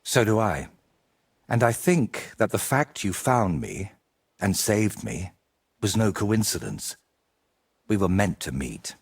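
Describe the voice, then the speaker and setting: Animated, ambitious medieval painter man. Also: lowish voice, takes it slowly, creaky, pauses often, husky, heavily acted. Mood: earnest, yearning. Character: ambitious, vain male medieval painter, eloquent dreamer. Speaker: painter character. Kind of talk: video game cutscene dialogue.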